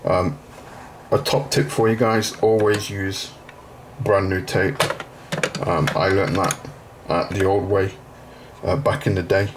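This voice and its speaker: A man with a low-pitched voice walks through a practical demonstration in an informal, step-by-step way. He explains each part plainly, as the host of a how-to video. A noticeable layer of noise sits behind his speech. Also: level tone, takes it slowly, pauses often. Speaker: video host demonstrating a retro computer repair. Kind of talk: informal hands-on tutorial narration.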